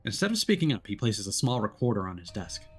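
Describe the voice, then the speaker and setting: A man's voice: on the low side, sing-song, quick pace, slightly rough. Man reading game text aloud expressively. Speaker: streamer playing a visual novel. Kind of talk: dramatic read-aloud of game text with casual asides.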